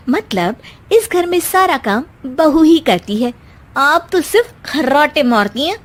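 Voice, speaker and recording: A woman voicing a sassy, quarrelsome young daughter-in-law in an animated moral story, with sarcastic, whiny exclamations. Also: high voice, slow, heavily acted. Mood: teasing.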